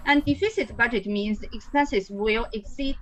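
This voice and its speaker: A woman's voice: a little high, normal speed, a bit bright, a little hiss or hum, rough recording. A woman from China giving a class group presentation over a video call, reading prepared notes with frequent fillers. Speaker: student presenter.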